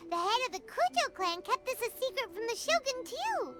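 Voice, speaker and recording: A tiny fairy girl companion from an anime game, with a squeaky, extremely high childlike voice. She is cheeky and excitable, swinging wildly in pitch as she exclaims. Loud music plays behind her. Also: moderate pace. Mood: excited. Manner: exclamatory, chirpy.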